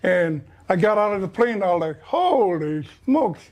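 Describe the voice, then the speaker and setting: A man's voice: high voice, sing-song, moderate pace, darkish tone. A middle-aged man from Niihau with a Hawaiian Pidgin accent, Hawaiian his first language, telling stories as a guest in short, plain-spoken answers. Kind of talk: casual storytelling.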